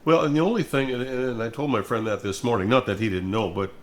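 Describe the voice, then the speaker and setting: A man's voice: mid-range voice, fast. An older man in an earnest, reflective devotional conversation, speaking in a preachy way and repeating phrases for emphasis.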